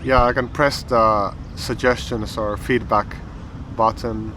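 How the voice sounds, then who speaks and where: A man with a Finnish accent, a language teacher calmly explaining an app as he tries it, thinking aloud with pauses. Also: fairly low pitch, slight background noise. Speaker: language teacher and channel host. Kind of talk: explaining an app while trying it.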